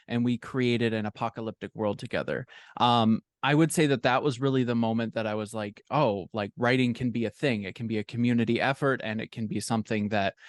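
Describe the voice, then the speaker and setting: A man's voice: mid-range voice, no echo. A man in his thirties, a guest author who talks casually with lots of ums and likes. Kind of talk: casual interview answers.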